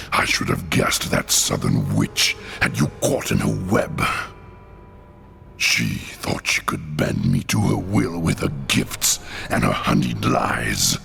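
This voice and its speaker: A man's voice: sits low, fairly bright tone, exaggerated voice. A man playing a brutal, boastful champion of a blood god in a video game, theatrical, menacing and full of contempt. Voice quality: gravelly. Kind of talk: video game villain acting.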